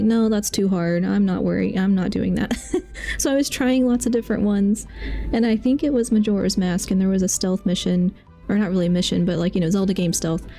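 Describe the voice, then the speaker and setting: A woman's voice: mid-range voice, warm tone. A woman livestreamer chatting casually while she plays a game, in a rambling, self-amused way. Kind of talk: casual chat while playing.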